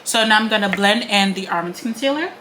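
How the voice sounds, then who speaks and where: A woman's voice: mid-range voice, a little fast, noisy background. A woman in her late twenties chatting casually at home while doing a makeup tutorial, with lots of "like" and "um" fillers. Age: late 20s. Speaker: beauty vlogger. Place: home, indoors.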